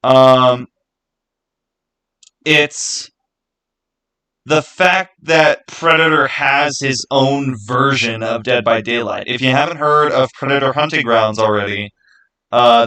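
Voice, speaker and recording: A man with a bright, punchy voice at an ordinary male pitch talks off the cuff as a video host. He gives opinions on games in a casual way. He leans on his points emphatically, often restating a phrase to drive it home. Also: fairly even intonation, unhurried, poor audio. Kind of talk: casual unscripted gaming commentary.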